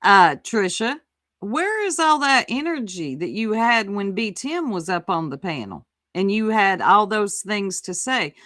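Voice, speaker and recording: Lively, mocking woman. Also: mid-range voice, measured pace, no room sound, clean studio sound. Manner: mocking, teasing, animated. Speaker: commentary host. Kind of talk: recap commentary on a livestream clip.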